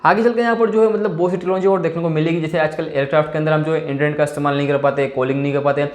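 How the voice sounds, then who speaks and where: A man's voice: fairly high pitch, fairly even intonation, rapid-fire. A man with an Indian accent hosting an informal tech explainer, leaning on repeated verbal tags and mixing in English technical words. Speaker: tech explainer video host. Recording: roomy, echoey indoor sound.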